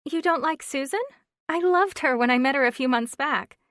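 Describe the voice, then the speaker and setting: Woman putting on a bubbly high gushing friend. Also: moderate pace, fairly bright tone, no echo, studio quality. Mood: excited. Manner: gushing, squealing, teasing. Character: bubbly excitable young woman friend on phone.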